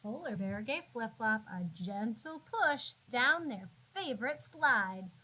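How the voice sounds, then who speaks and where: A woman narrating a children's storybook as a teacher would, expressively, with drawn-out words and dramatic emphasis for young listeners. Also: on the high side, expressive, pushed and bright, husky, rough recording. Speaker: classroom teacher reading aloud.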